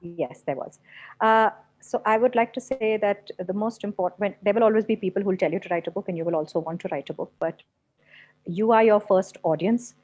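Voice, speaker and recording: A woman's voice: mid-range voice, fairly quick, darkish tone. Woman on a call giving writing advice, direct, audio a bit dull. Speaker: panelist, writer. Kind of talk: casual panel chat on a video call. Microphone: video call. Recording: slightly muffled video call.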